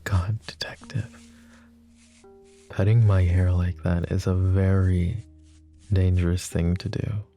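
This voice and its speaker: A man voicing a lovesick, possessive young mafia boss in an ASMR roleplay, speaking softly and intimately, often close to a whisper. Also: low-pitched, flat delivery, slow, vocal fry, pauses often, soft background music, exaggerated voice. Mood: tender, yearning. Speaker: voice actor.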